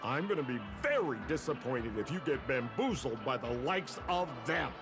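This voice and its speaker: An actor playing a flamboyant, teasing trickster in a video game, theatrical and mocking, with a smugly cryptic, insinuating manner. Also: male voice, medium pitch, animated, moderate pace, natural voice. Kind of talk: video game character dialogue. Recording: background music under the voice.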